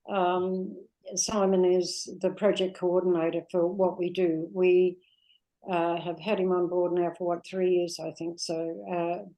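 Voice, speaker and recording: An older Australian woman with an Australian accent, a guest in an interview, reflecting unhurriedly with many pauses and fillers. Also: lowish voice, dark tone, poor audio. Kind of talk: warm reflective interview talk.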